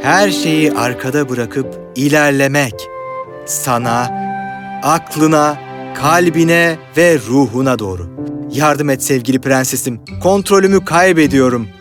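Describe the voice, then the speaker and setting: A man's voice: mid-range voice, measured pace, over music, natural voice. A man playing a polite, romantic young hero in a fairy-tale cartoon, earnest and pleading. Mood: yearning. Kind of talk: animated fairy tale acting.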